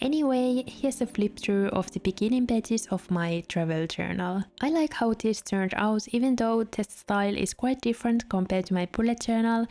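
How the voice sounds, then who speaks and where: A woman's voice: on the high side, normal speed, hardly any pauses, warm tone. A Finnish-speaking woman narrating her travel journal setup in a chatty voice-over, with light laughs. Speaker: journaling vlogger.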